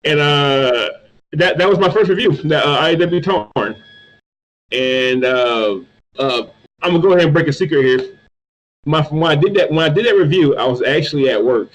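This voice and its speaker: Man from North Carolina, interview guest, stammers a lot, repeats words, slightly high-pitched. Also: lively intonation, normal speed, highs cut off. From North Carolina, USA. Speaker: guest, toy reviewer. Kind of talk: casual interview chat.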